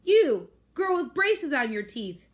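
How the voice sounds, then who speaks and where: A woman's voice: high-pitched, very animated, slow, pitched above the performer's own voice, full character voice. A woman teacher voicing a stern, tall woman who scolds a girl, read aloud from a storybook.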